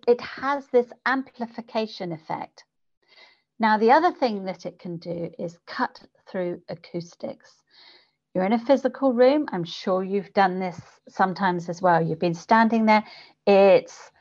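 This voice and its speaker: A slightly dull, lo-fi recording carries a woman's voice at a middling pitch. She explains things like a coach being interviewed, deliberate and instructive in her delivery. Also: darkish tone. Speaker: guest voice coach for public speakers. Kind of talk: explanatory interview talk with demonstrations.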